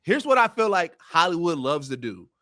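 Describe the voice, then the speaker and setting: Male podcast panelist, distinctly high voice, colloquial and explanatory, casual pop culture chat. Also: very animated, moderate pace, pushed and bright.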